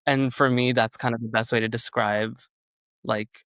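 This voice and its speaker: A man's voice: mid-range voice, steady tone, moderate pace, a bit bright, clear, resonant tone, no echo, studio quality. A young man, a singer whose first language is Urdu, talking in a rambling casual way with many fillers. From Canada. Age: young adult. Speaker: singer-songwriter guest. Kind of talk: casual interview chat.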